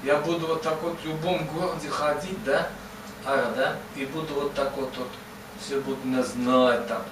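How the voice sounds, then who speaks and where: A man's voice: medium pitch, steady tone, normal speed, lightly acted. A man voicing old, bearded Confucius as a folksy sage, rambling and asking "right?" after every phrase. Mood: boastful, teasing. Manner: rambling, repeats "да?" and "вот" constantly. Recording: roomy, distant mic, ambient noise.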